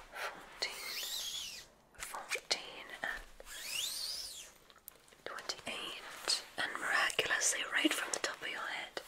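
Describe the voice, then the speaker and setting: Whispering woman as a soothing witch. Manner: soothing, slow, deliberate, formal wording. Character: kindly, encouraging witch examiner at a magic school. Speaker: ASMR performer. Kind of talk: ASMR roleplay, soft whispering.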